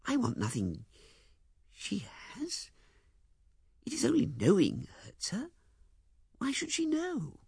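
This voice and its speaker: A man voicing a heartbroken young woman who pleads in a soft, half-whispered voice. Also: high voice, wildly swooping pitch, takes it slowly, vocal fry, pauses often, slightly rough, no room sound, clean studio sound, voice pushed up, heavily acted. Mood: pleading.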